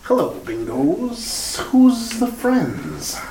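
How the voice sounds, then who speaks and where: A woman plays a hissing male gangster gambler in a retro radio play, drawling each menacing word very slowly and roughly. The delivery is lively in pitch. It is recorded off mic in an echoey room with faint noise behind. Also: crowd noise, full character voice. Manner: slow, hissing, ungrammatical tough talk. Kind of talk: amateur retro radio drama acting.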